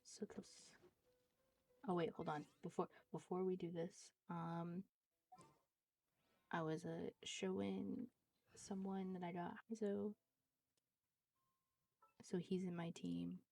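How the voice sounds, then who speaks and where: A woman streamer chatting casually over a game in a husky, mellow voice. She mutters to herself in a hesitant way, with plenty of creak. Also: lowish voice, little pitch movement, slow pace, long pauses, no room sound. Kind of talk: casual gaming livestream commentary.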